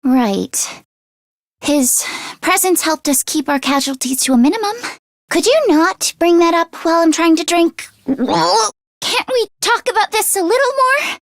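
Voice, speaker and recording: A woman voicing an excitable, anxious but determined teenage girl mechanic in a video game, with a youthful voice and expressive, emphatic delivery. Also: very high voice, a little slow, vocal fry, no echo, clean studio sound, full character voice. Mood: uneasy. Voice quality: youthful, girlish. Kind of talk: animated video game character acting.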